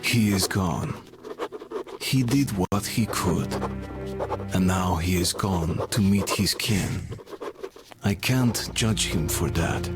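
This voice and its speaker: A somber, weary man reflects on loss in a video game. His voice sits very low and moves slowly, grave and regretful, with music underneath. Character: somber, weary man mourning a fallen friend. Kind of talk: video game cutscene narration. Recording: music behind the voice.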